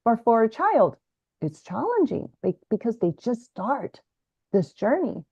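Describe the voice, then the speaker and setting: Woman, Chinese native, educator guest; lively, explanatory, self-correcting delivery; dry, slightly muffled call sound. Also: a little high, a little slow, breathy edge, dry recording. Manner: animated, self-correcting, teacherly. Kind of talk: explanatory interview talk. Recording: dry, slightly dull lo-fi audio.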